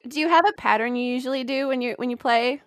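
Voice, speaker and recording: A woman co-hosting a podcast in casual chat, excitable and giggly. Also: sits high, fast talker, smooth, dry recording, studio quality. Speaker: podcast co-host.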